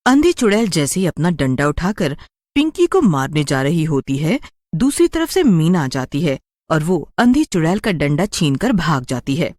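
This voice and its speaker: A woman's voice: deep voice, very animated, moderate pace, a little mellow, no echo, clean studio sound. A woman storyteller giving scripted, dramatic narration of a story.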